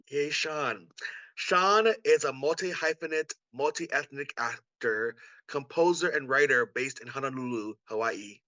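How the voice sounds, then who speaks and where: A man with a bright, crisp-edged voice hosts an online event. He is enthusiastic and welcoming as he introduces guests and asks them questions. The sound is dry, with little room in it. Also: mid-range voice, unhurried, no echo, rough recording. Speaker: co-host, artistic producer. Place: indoors on a video call.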